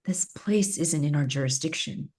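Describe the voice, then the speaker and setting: A woman's voice: sits low, normal speed, a little mellow. A woman playing a stubborn, by-the-book female detective in a screenplay table read, firm and dismissive.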